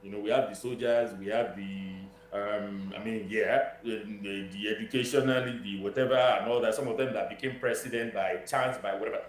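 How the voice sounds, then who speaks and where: A man with a Nigerian accent giving political commentary as an interview guest, emphatic and repeating phrases for stress. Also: on the high side. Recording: roomy echo with noise and hum.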